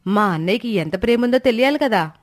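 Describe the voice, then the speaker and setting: A woman voicing a sincere, supportive young bride-to-be in an animated moral story, reasoning gently and earnestly. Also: fairly high pitch, quick pace, close to an everyday voice. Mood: earnest, reassuring.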